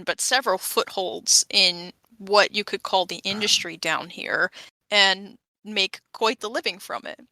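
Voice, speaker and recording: A woman's voice: medium pitch, vocal fry, bright, forceful tone, no room sound. A woman chatting casually as a player in a tabletop roleplaying game, explaining things in a wry way.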